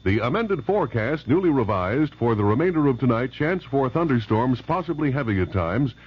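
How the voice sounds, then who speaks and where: A man reading local news and weather on the radio in a brisk, even newsreading style. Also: medium pitch, breathy edge. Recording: dull old radio broadcast.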